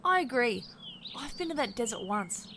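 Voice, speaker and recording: A woman's voice: a little high, very animated, normal speed, sharp tone, slight background noise. A woman voicing a confident, friendly female animal adventurer in an audio drama.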